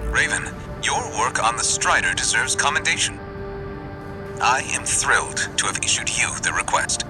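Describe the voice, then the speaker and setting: An actor playing a suave mercenary liaison in a video game voice message, with polite, smooth, formal manners. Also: male voice, mid-range voice, expressive, normal speed, music in the background, close to an everyday voice, low-quality recording. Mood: pleased, courteous.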